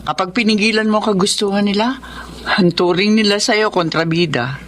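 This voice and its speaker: A woman's voice: mid-range voice, normal speed, fairly bright tone, close to an everyday voice. Woman acting caring schoolteacher wife, faint background noise. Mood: earnest, advising. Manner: earnest, nurturing, advising. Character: caring, practical middle-aged schoolteacher wife and mother. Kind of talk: Tagalog radio drama acting.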